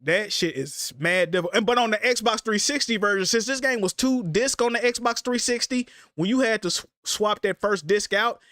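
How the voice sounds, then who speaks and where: Congested streamer man, high voice. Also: normal speed, few pauses, pushed and bright, no echo, studio quality. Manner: loose slangy banter, swears often. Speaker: streamer host. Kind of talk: casual livestream chat.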